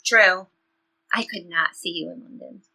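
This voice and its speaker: A woman's voice: fairly low pitch, a little slow, creaky, pushed and bright, heavily acted, poor audio. A woman playing a loyal, upbeat seventeen-year-old girl in an audio play, reassuring and playful in everyday dialogue. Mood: supportive. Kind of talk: audio play reading, conversational teen dialogue.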